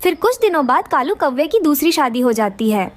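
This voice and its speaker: A woman narrator with a very high-pitched voice and animated intonation. She reads a scripted children's moral story as cartoon narration. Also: brisk, no room sound.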